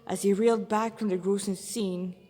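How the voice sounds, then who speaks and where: A woman's voice: mid-range voice, steady tone, moderate pace, dark tone, faint music behind. A woman reads a story aloud as its narrator. Her phrasing is dramatic, with occasional stumbles over words. The recording carries long, echoey reverb. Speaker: fan fiction author and reader.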